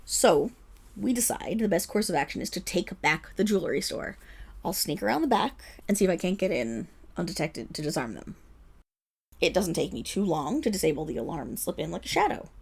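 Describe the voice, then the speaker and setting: Woman playing a husky, animated, defensive female superhero. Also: lowish voice, brisk, full character voice. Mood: boastful, animated. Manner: rambling, defensive, dramatic storytelling with nervous laughs. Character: flustered, boastful young female superhero making a grudging public apology. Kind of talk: scripted audio roleplay monologue as a press conference speech.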